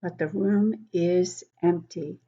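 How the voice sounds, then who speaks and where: Unhurried woman reading to young children. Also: lowish voice, highs cut off. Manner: expressive, explaining asides and questions to young listeners. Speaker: children's book club host and reader. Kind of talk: read-aloud picture book storytelling for young children.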